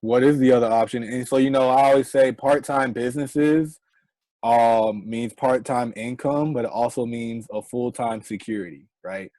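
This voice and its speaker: A man's voice: medium pitch, steady tone, moderate pace, warm tone, dry recording, poor audio. A man in his twenties, a guest entrepreneur on an online interview, giving earnest advice and often tagging lines with "right?". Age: 20s. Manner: earnest, repeats "right?" as a tag.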